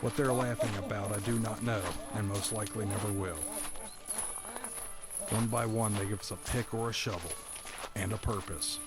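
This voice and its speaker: An actor playing a bitter, doomed prisoner who narrates a first-person audio drama with brooding, dramatic phrasing. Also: male voice, lowish voice, fairly even intonation, normal speed, pauses often, natural voice. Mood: bitter, resigned.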